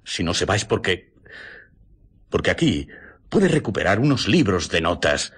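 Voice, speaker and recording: An actor playing an anxious, agitated doctor in a Spanish radio drama, urgent, theatrical and sometimes stammering. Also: male voice, sits low, very animated, moderate pace, fairly bright tone, breathy edge, exaggerated voice.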